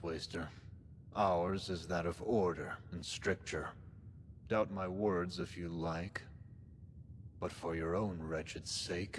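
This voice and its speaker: Game villain: cold zealot overlord, deep register, grandiose archaic delivery, faint rumbling noise behind. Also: male voice, long reverb tail. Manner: pompous, archaic, deliberate speech. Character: cold menacing zealot overlord of a post-apocalyptic cult. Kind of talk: scripted game dialogue, dramatic monologue.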